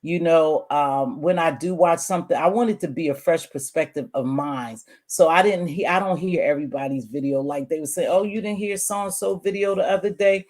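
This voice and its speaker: Streamer woman, hoarse, sassy, scolding, casual rant straight to camera viewers. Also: moderate pace, hardly any pauses. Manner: scolding, sassy, swings between loud outbursts and low mutters. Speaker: online streamer.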